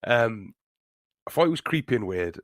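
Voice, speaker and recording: Male co-host, very deep, hoarse and croaky, halting delivery, dry mic. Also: expressive, a bit bright, dry recording. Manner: hesitant, halting with fillers. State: hoarse from a chesty cold. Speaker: podcast co-host. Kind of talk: casual chat.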